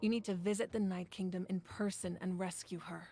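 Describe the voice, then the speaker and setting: A woman playing a calm, commanding ruler of a fire nation who speaks in a composed, measured, earnest way. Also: lowish voice, very noisy, close to an everyday voice. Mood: steady. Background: game music.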